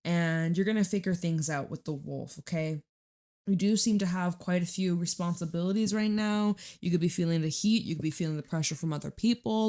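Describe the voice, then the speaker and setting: A woman with a raspy, hoarse voice pitched a little low talks her viewers through a tarot spread. She is chatty and reassuring and swears in passing. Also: dark tone. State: hoarse from screaming. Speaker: tarot reader. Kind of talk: casual tarot reading to viewers.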